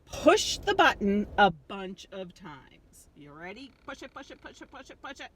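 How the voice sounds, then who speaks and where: A woman voicing an excitable, mischievous little male creature from a children's storybook, coaxing and exclaiming playfully. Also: medium pitch, normal speed, slight background noise. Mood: excited alarm.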